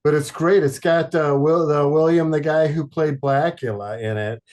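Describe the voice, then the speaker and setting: A man from Detroit, a podcast guest, chatting casually in a halting, rambling way with many fillers and deadpan jokes. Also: on the high side. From Detroit, Michigan. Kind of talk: casual chat.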